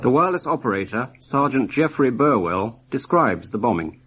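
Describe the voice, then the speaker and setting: A man's voice: medium pitch, very animated, moderate pace, heavy background noise. A man reading scripted narration as the narrator of a documentary.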